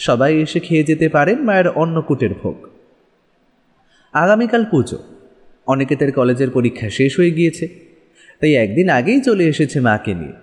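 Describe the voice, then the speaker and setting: A man narrating a village ghost story in a dramatic, expressive storytelling manner. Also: a little high, long reverb tail.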